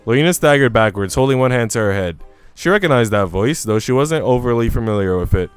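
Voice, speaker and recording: A man's voice: mid-range voice, expressive, fast talker, smooth, no echo. A man reading visual novel narration aloud as a storyteller. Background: soft music.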